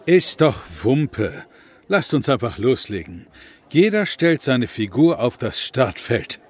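A man's voice: mid-range voice, sing-song, takes it slowly. A man playing a laid-back young gamer. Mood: easygoing. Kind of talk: amateur comedy audio drama.

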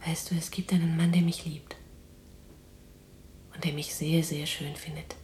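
An actress playing a weary but defiant, tender imprisoned resistance fighter in a German radio drama, speaking in a breathy, halting, intimate hush. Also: female voice, low voice, level tone, roomy sound, a little hiss or hum. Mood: tender, wistful. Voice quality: breathy whispering. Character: exhausted, defiant, tender imprisoned resistance woman in wartime Netherlands.

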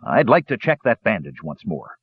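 A man voicing a gruff, stubborn old male dwarf who growls his words out slowly. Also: on the low side, sing-song, no room sound, exaggerated voice. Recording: dull dry recording.

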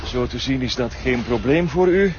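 An actor playing an unruffled, formally polite and mocking criminal go-between in a TV crime drama. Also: male voice, mid-range voice, expressive, moderate pace, lightly acted. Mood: cool, mocking. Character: smooth-talking, unruffled male go-between for a criminal. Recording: dull lo-fi outdoor scene, clear noise.

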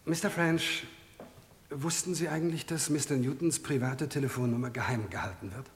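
An actor playing a stern, accusing prosecutor in an old German radio crime drama, questioning formally and pressing hard. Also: male voice, mid-range voice, moderate pace, a little hiss or hum, natural voice. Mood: cold, probing. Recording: vintage radio play, long hall reverb.

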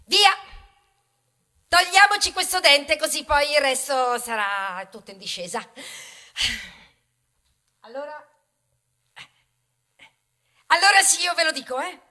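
An actress playing a chatty, bashful old grain of wheat in a stage monologue, hesitant and chiding as it addresses the audience. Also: female voice, high-pitched, bright, forceful tone, full character voice.

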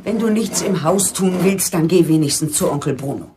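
A woman's voice: lowish voice, animated, normal speed, background noise, close to an everyday voice. An actress playing a nagging, worried middle-aged mother in a German radio play, fussing and reproachful in manner.

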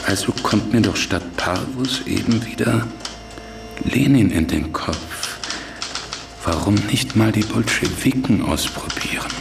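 An actor playing a cool, calculating political schemer dictating in a German radio drama, deliberate and dry. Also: male voice, fairly low pitch, vocal fry, music in the background. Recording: loud typewriter clatter over voice.

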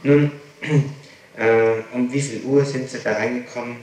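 A man questioning someone in a police interrogation, methodical and insistent, speaking haltingly with pauses. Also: mid-range voice, fairly even intonation, a little mellow. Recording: echoey, noisy 1960s archive tape.

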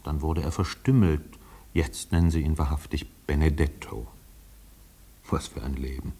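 An actor narrating a radio play as a thoughtful, wry storyteller. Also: male voice, rumbling low, fairly even intonation, moderate pace, warm tone, breathy edge, full character voice. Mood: wry, reflective.

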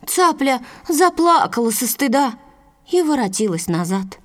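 A female storyteller narrates for very young children. Her voice is slightly high, with lively, wide-ranging intonation suited to a fairy tale. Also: a little slow, soft background music.

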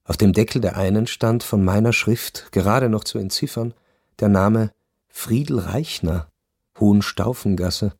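An actor playing a returned soldier son who narrates a radio play in measured, reflective, deliberate sentences. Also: male voice, fairly low pitch, creaky, darkish tone, no room sound, studio quality. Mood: wistful.

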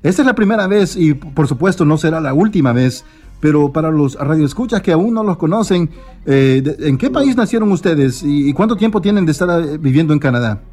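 Upbeat radio host man, slightly high. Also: animated, brisk, mellow, faint music behind. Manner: enthusiastic, hesitant, many fillers. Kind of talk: radio interview.

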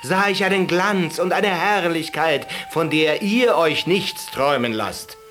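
A man voicing a poor Bedouin shepherd in a radio-play fable, insolent, loud, scornful and fearless. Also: fairly high pitch, lively intonation, unhurried, soft background music, voice pushed up. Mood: scornful, outraged. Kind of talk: storytelling within a radio play.

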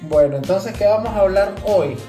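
A man chats as a podcast co-host, joking and wandering into explanations. His voice sits in an echoey room, with music clearly playing behind it. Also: high-pitched, fairly quick. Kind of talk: casual humorous chat. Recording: roomy echo, music behind voice.

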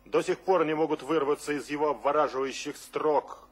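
An actor playing a bold, blunt male poet in a radio drama, speaking in a deliberate, declamatory manner. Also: fairly high pitch, fairly even intonation, slightly rough. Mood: declamatory, solemn. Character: Mayakovsky, bold blunt male poet reciting his own words. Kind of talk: theatrical radio drama acting.

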